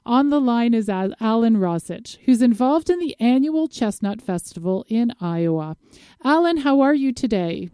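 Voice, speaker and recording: A woman presents a radio show in a fairly high but soft, warm-toned voice. She delivers scripted introductions and interview questions, recorded dry and close. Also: animated, normal speed, clean tone, dry recording.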